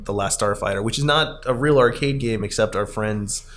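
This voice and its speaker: A man's voice: lowish voice, normal speed, fairly bright tone, slight background noise. A man hosting a podcast in a casual, conversational style. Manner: chuckles often while talking. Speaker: podcast host, arcade company staff.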